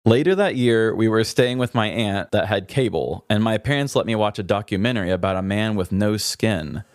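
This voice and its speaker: Low-voiced man chatting on a dry recording. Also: normal speed, no echo. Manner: informal, chatty storytelling. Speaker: podcast co-host. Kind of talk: casual banter, reading listener messages.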